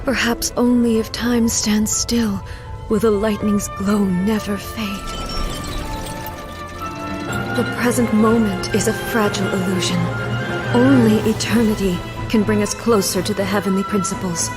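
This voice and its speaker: Video game casting: actress as grave immortal female ruler, stately and formal, measured delivery, music behind. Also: mid-range voice, fairly even intonation, natural voice. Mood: sorrowful, solemn. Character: solemn, regal female shogun deity devoted to eternity. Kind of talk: dramatic video game acting. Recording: studio voice over background music.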